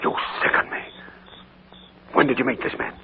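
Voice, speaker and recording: An actor playing a bitter, secretive older uncle with a stern, commanding manner in a 1940s radio drama. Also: male voice, normal speed, a little hiss or hum, natural voice. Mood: harsh. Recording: tinny 1940s radio broadcast.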